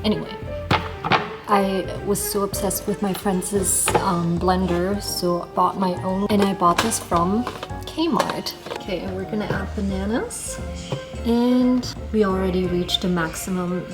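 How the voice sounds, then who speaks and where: A woman's voice: medium pitch, steady tone, measured pace. A young woman from Germany with a light German accent, casually chatting and rambling while she cooks in a hostel kitchen. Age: young adult. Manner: chatty, rambling, apologetic about talking too much. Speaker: vlogger. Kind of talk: casual vlog chat while cooking. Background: background music.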